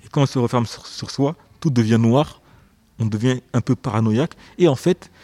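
A man's voice: medium pitch, moderate pace, mellow, dry recording. A young man, an author being interviewed on the radio, speaking thoughtfully and hesitantly, often repeating words as he searches for phrasing. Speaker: author guest.

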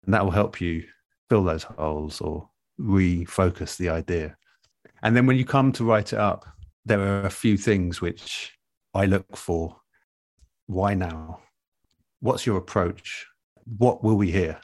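A man in a relaxed interview, explaining things in a thoughtful, measured and earnest way as an experienced producer. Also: deep voice, dry recording. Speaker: interview guest, audio drama producer. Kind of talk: relaxed advice-giving conversation.

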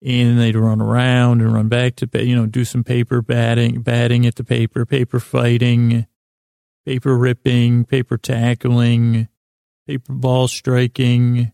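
Male podcast host, very creaky voice, soft mellow tone, halting delivery, meandering bedtime monologue. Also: medium pitch, completely flat delivery, dry recording, studio quality. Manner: halting, stammering, repeats and trails off.

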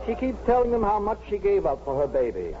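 An actor in a 1950s radio drama playing a detached, matter-of-fact psychiatrist with a clipped, analytical manner. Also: male voice, sits high, huge pitch swings, fast talker. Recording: muffled, noisy 1950s radio recording.

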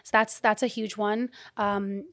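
A woman in her thirties, a guest in a candid podcast conversation, talking animatedly with laughs and the odd curse, and dropping to a hush now and then. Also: medium pitch, measured pace, no echo. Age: 30s. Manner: animated, swearing, laughing, shifting from loud to hushed. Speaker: guest, community leader and business owner.

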